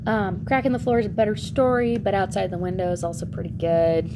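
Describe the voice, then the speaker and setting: A woman's voice: medium pitch, fairly even intonation, brisk, background noise. A woman storyteller telling a fairy tale in a casual, improvised, conversational way with wry asides.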